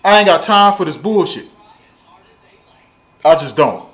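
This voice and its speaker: High-pitched Southern US man, blunt and emphatic. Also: animated, measured pace, fairly bright tone. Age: 30s. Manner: blunt, profane, emphatic, repeats phrases for effect. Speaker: video blogger. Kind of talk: casual storytelling rant to viewers.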